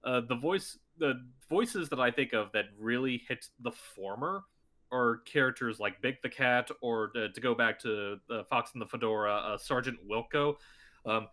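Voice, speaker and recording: A man's voice: mid-range voice, moderate pace, bright, forceful tone. A man talking as a guest voice actor in a casual podcast interview, chatty and rambling with many restarts.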